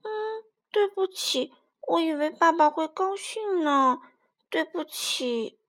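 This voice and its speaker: Woman acting a little boy dinosaur, very high, swooping, childlike, clingy, adoring, storybook character. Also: very animated, a little slow, bright, forceful tone, heavily acted. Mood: tearful, pleading. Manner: childlike, exclaiming, pleading. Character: innocent, adoring baby ankylosaurus boy, clingy and cheerful.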